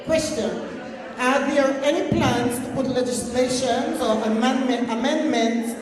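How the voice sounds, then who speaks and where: A woman putting formal, measured questions to a minister from the floor of a public meeting, speaking into a handheld microphone. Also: high voice. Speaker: audience member asking questions. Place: large public meeting hall. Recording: echoey hall with long reverb. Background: audience crowd.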